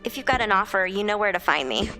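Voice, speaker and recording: Woman as a bright, breezy, teasing friend. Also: mid-range voice, expressive, quick pace, faint music behind. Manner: flippant, casual. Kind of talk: audio drama acting.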